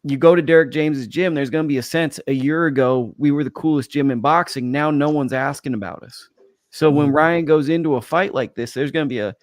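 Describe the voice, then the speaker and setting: A man on a casual boxing talk show, relaxed and analytical, who reasons things out at length. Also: a little high, a little fast, no room sound, clean studio sound. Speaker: podcast panelist. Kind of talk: casual panel chat.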